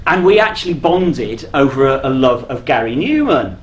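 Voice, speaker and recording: A man's voice: mid-range voice, very animated, normal speed. A middle-aged man hosting a live convention panel with casual, bantering talk. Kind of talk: casual, bantering stage interview. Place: on stage at a convention. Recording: echoey live hall, faint noise.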